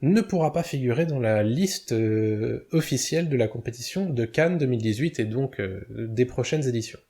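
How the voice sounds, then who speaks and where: A man's voice: medium pitch, animated, normal speed, mellow. A man commenting casually to his viewers, full of hesitations and self-corrections, with chuckles at his own mispronunciations. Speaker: video commentator.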